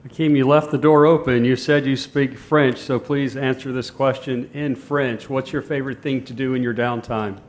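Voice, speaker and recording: A man moderating a press conference in a brisk, formal way. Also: mid-range voice, fairly even intonation. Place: media day press room.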